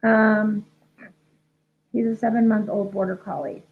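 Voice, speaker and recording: A woman's voice: mid-range voice, fairly even intonation, unhurried, a little hiss or hum. A young woman, a dog trainer, answering viewer questions in a casual live chat, congested and losing her voice from a head cold. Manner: chatty, many ums and restarts, reads questions aloud. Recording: tinny phone-like livestream audio.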